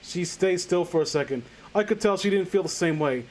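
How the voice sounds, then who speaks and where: A man reading fan fiction aloud casually, breaking off with sarcastic, halting, sweary commentary. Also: high voice, lively intonation, very noisy.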